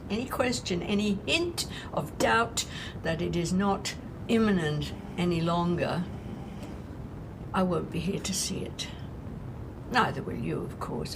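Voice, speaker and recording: A woman playing a despairing, scornful woman in an audio drama monologue, haltingly lecturing an unseen listener on humanity's end. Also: lowish voice, noisy background. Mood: grieving, bitter. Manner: deliberate, halting, rhetorical, addressing an unseen listener.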